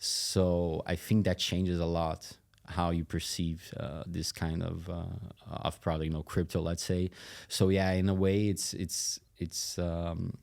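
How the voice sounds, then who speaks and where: Male podcast guest, Brazilian-accented native Portuguese speaker. Flat, soft-edged delivery, conversational, with frequent searching pauses. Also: deep voice, gravelly. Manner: hesitant, pausing often mid-phrase. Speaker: podcast guest, company executive. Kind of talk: casual interview talk.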